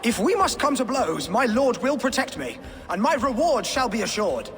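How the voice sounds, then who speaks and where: A fanatical friar in a video game. His high voice swoops wildly with righteous outrage, ringing in a cavernous reverb under music. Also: big pitch swings, normal speed, full character voice. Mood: fearful, defiant. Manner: shrill, righteous, declamatory. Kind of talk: video game cutscene dialogue. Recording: long cavernous reverb, loud music behind.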